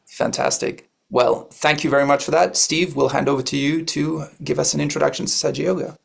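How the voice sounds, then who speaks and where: A man hosting a live online meditation session, introducing it and welcoming his co-hosts in a calm, measured way. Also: fairly high pitch, gravelly, husky. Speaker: host.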